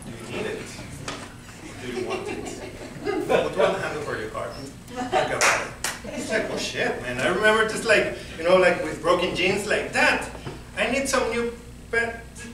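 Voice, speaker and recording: A man's voice: high-pitched, lively intonation, moderate pace. A young man from Venezuela with a Spanish accent, performing a self-deprecating stand-up set and laughing at his own jokes. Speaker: stand-up comedian. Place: comedy club stage. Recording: echoey club taped from far off, noisy crowd.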